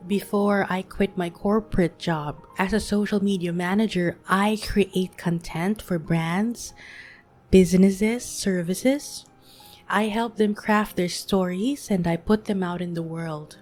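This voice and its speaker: Woman, late thirties, slow soothing reflective delivery, soft music bed. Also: medium pitch, steady tone. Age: 30s. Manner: gentle, soothing, unhurried. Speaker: podcast host. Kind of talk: soft-spoken reflective monologue.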